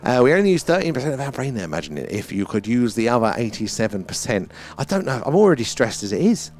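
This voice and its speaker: A man's voice: mid-range voice, very animated, quick pace, darkish tone. A British man hosting a live stream, chatting casually in a rambling, musing way with some mild swearing. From United Kingdom. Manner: musing, loosely philosophical, mildly sweary. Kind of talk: casual rambling livestream chat.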